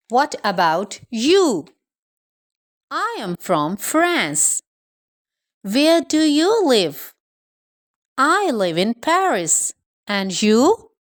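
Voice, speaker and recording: A woman English teacher reading a scripted practice dialogue in a deliberate, carefully enunciated way. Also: on the high side, no room sound, studio quality.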